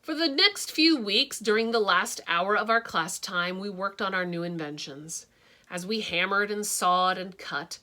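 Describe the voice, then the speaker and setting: Slow, bright woman reading a story. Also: medium pitch. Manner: expressive, adds her own asides. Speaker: storybook reader. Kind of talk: reading a picture book aloud.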